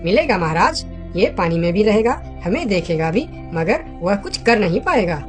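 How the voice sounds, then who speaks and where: A woman's voice: mid-range voice, very animated, normal speed, lightly acted. A woman voicing a clever, confident young male monkey for a children's cartoon, with music under the voice. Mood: confident, reassuring.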